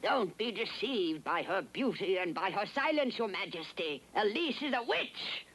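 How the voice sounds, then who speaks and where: Casting: scheming fairy-tale villainess, rough-edged voice, animated delivery, theatrical and sly. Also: female voice, mid-range voice, normal speed, rough recording. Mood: sly accusing. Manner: insinuating, theatrical. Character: scheming, spiteful female sorceress at court. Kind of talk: dubbed cartoon villain acting.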